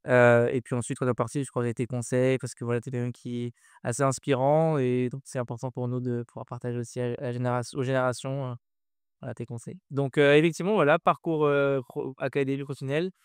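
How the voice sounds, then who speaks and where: A man's voice: medium pitch, flat delivery, quick pace, smooth, dry recording, clean studio sound. A man hosting an interview podcast in a casual, conversational style, putting questions to a guest.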